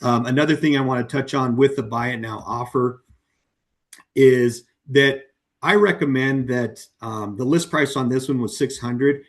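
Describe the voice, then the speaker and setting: A man, a company co-founder, walking through a software demo in a loose, conversational webinar style, with frequent ums and you-knows, his voice wearing hoarse. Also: mid-range voice, normal speed. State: voice going hoarse. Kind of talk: casual walkthrough of a software demo.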